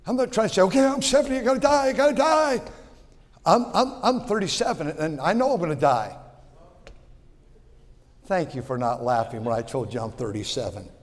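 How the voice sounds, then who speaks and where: Man, 60s, pastor, sermon, folksy, repeats words, fairly high voice, long hall reverb. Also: lively intonation, brisk, pauses often. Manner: folksy, repeats words, swings from loud to quiet.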